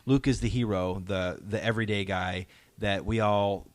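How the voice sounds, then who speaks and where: A man with a fairly low voice talks as a podcast host in a casual fan discussion. He is keen and emphatic, often checking that listeners follow. The sound is dry, with very little room on it. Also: fairly even intonation, moderate pace, dry recording. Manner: enthusiastic, emphatic, seeking agreement.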